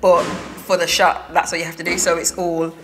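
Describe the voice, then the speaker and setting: Low-voiced thoughtful woman. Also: level tone, brisk, a bit bright. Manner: thoughtful, searching for words. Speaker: actor guest. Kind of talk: casual panel chat.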